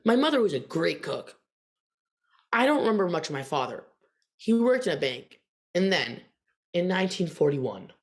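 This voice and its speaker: A woman's voice: on the low side, sing-song, moderate pace, long pauses. A woman playing an innocent young boy telling of a wartime childhood in a stage drama. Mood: reminiscing. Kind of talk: theatrical first-person storytelling. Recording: faint music underneath.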